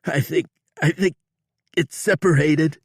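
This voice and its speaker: A man's voice: a little high, steady tone, unhurried, no echo, studio quality, pitched above the performer's own voice. A man voicing a frightened, injured male outlaw who yelps and cries out in pain, in an audiobook. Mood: pleading. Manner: yelping, howling and crying out in pain. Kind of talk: audiobook character voice.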